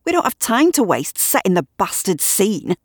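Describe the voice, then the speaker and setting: An agitated man who argues in sarcastic, heated bursts, his voice jumping high and loud when he gets wound up, as a woman reads him. The delivery is quick, and the sound is dry and close. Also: big pitch swings, slightly rough, no echo. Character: agitated middle-aged man, argumentative and sarcastic.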